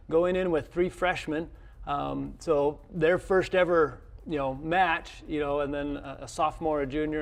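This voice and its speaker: A man, a college coach, answering interview questions in a television studio in a halting, modest way that often repeats words. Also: fairly high pitch. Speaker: college tennis head coach, interview guest. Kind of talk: unscripted interview talk.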